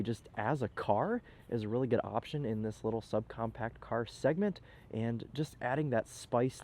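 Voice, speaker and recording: A man's voice: mid-range voice, mellow. Stuffy-nosed young man reviewing a car. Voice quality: slightly nasal. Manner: upbeat, chatty, filler-laden. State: stuffy nose from a cold. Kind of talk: casual walkaround car review. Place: outdoors in a parking lot, then inside a car.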